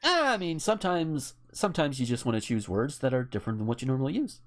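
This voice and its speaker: American speaker with lively, swinging pitch. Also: fast, slight background noise. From United States. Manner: emphatic, profane, outspoken. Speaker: podcast co-host. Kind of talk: casual opinionated political chat.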